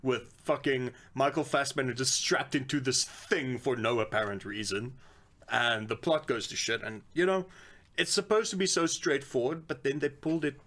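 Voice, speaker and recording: A man's voice: medium pitch, moderate pace, a bit bright. A man co-hosting a podcast in casual film talk, acting out reactions and swearing offhand. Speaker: podcast co-host. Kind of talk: casual panel chat about films.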